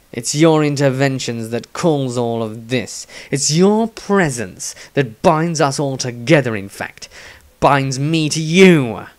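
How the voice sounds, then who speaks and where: A man playing a stern, exasperated adventurer. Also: mid-range voice. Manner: measured, disapproving. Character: stern, exasperated man scolding a reckless companion. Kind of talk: character dialogue in a fantasy audio story.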